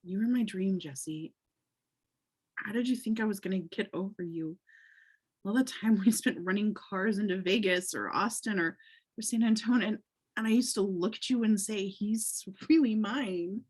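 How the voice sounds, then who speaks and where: A woman's voice: medium pitch, normal speed, voice pushed down, natural voice. A woman reading a furious young woman confronting the ex who left her, with a put-on Southern accent and plenty of swearing. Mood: hurt. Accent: American Southern, put on. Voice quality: husky, swearing, lively delivery. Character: furious young woman, jilted ex-car thief. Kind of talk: amateur script read-through.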